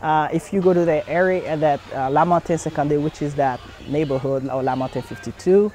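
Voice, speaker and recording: Young guy from haiti, kinda high voice, lots of uhs and restarts, earnest interview talk. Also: a little mellow. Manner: earnest, pauses with many fillers and restarts. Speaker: community center founder's son. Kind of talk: documentary interview.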